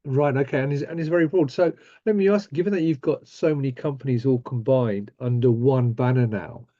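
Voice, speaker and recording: A man's voice: medium pitch, fairly quick, mellow, dry recording, lo-fi sound. A man hosting a business interview, asking friendly, upbeat questions. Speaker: host. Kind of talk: business interview over video call.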